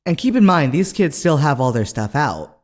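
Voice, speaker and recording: A man's voice: fairly high pitch, very animated, normal speed. A young adult man with a scratchy voice, casually telling a story as a video host in a slangy, conversational manner full of asides. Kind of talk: casual storytelling to camera audience.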